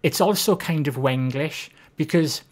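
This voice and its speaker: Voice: medium pitch, a little slow. A live streamer with a Swansea Welsh accent chatting casually, reading viewers' comments and joking at their own expense. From Wales. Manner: chatty, self-deprecating, reads chat aloud.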